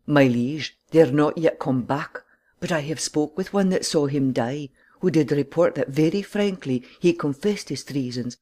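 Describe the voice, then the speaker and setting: Man acting a mellow, dutiful young prince. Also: on the high side, lightly acted. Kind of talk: dramatic Shakespeare reading.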